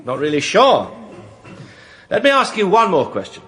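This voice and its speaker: Cocksure British barrister, husky and animated. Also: male voice, high-pitched, moderate pace, full character voice. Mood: cross-examining. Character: cocksure, suave male defence barrister, theatrical and sly. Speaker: defence barrister. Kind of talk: British courtroom radio drama.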